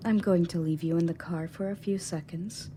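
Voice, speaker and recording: A woman's voice: fairly low pitch, steady tone, moderate pace, mellow, close to an everyday voice. A woman playing a confident, matter-of-fact rescue agent in an audio roleplay, speaking conversationally to the listener. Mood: reassuring, businesslike. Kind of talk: scripted audio roleplay, in-character monologue to listener. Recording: clearly audible background noise and ambience.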